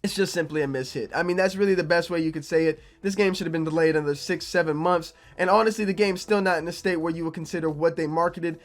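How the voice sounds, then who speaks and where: Young gaming youtuber guy, kinda raspy since he's sick, higher voice, rambles and repeats himself. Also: fairly even intonation, fast talker, hardly any pauses. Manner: conversational, repeats himself, low energy. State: a little raspy from being sick. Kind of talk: casual opinion vlog to camera.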